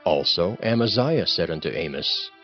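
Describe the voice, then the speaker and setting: A man with a lowish voice reads scripted scripture narration. His pitch moves in a lively, expressive way. The recording is slightly dull with faint music beneath. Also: moderate pace.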